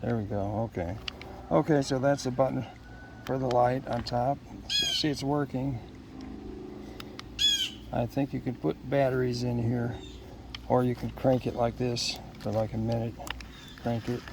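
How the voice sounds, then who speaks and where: A man chatting casually through an unboxing, unscripted and halting as he thinks aloud. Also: mid-range voice, noisy background. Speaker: product unboxer.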